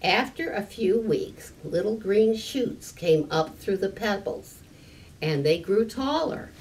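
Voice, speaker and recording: An elderly woman reads a picture book aloud to children in a deliberate, slightly halting manner, adding small explanations. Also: medium pitch, animated, a little mellow. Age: 70s or older. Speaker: story time reader. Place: library room with stone fireplace, indoors. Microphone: camera a few meters away. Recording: distant mic, room noise.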